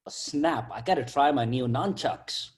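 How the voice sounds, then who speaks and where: A man's voice: mid-range voice, unhurried, lightly acted. A man playing a cheeky, laid-back sidekick in a comic table read, slangy and full of wisecracks. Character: cheeky, laid-back male sidekick teasing his buddy.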